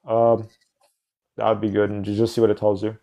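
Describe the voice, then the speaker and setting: A man's voice: lowish voice, monotone, brisk, vocal fry, dark tone, dull audio. A man hosting a livestream, arguing bluntly and matter-of-factly with callers. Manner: blunt, dismissive, matter-of-fact. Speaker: livestream host. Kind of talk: casual debate with callers.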